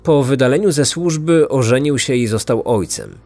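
A man narrates a true-crime podcast from a prepared script. His voice sits at a middling pitch, with faint music underneath. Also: lively intonation, moderate pace, a little mellow.

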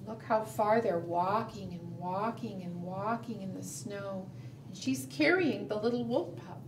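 Slow-talking woman storyteller, roomy sound. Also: mid-range voice. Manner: simple, expressive, asks the listeners questions. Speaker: children's librarian and storytime host. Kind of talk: picture-book storytelling for preschoolers. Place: indoor room, book shown to camera. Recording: roomy, distant mic, background noise.

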